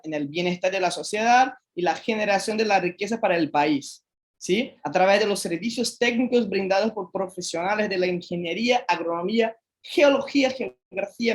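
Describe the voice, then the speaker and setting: Brazilian man giving a careful talk. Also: high-pitched. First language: Portuguese. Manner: careful, hesitant Spanish with Portuguese carryover words. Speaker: guest speaker, environmental engineer. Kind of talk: online lecture.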